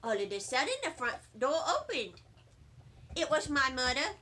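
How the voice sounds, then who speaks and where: A woman reading a children's book aloud as a teacher would, in an expressive storytelling style. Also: high-pitched, normal speed.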